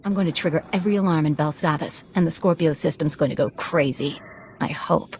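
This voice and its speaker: Casting: edgy computer slicer for a game. Slightly low female pitch, anxious, snippy, quick. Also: darkish tone, a little hiss or hum. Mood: nervous. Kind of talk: video game voice acting.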